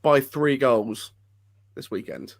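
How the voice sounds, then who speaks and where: A man's voice: mid-range voice, slow, gravelly, dry recording. An upbeat man co-hosting a casual football chat, bold and enthusiastic. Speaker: co-host.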